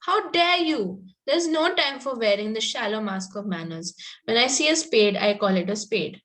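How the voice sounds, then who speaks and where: A spirited young country girl in a Victorian comedy, acted by a woman at a table read. The voice is on the high side. She is sweetly polite with a pointed edge, and she stumbles a little as she reads. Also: animated, moderate pace, fairly bright tone. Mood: politely defiant. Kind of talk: amateur reading of a Victorian comedy play.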